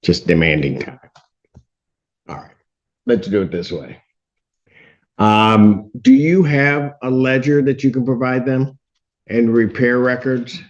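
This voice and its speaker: A middle-aged man presiding as a judge in a courtroom hearing, joking and teasing, then brisk and firm. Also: mid-range voice, dull audio.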